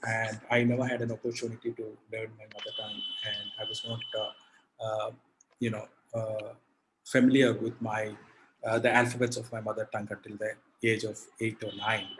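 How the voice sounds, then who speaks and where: A man, a poet answering interview questions over a video call, halting with many fillers and restarts. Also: on the low side, little pitch movement, background noise. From India. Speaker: poet, guest.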